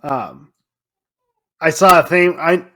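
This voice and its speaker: A man's voice: on the high side, slow, a bit bright. A man co-hosting a film podcast, talking casually and swearing freely as he acts out his own reactions. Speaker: podcast co-host.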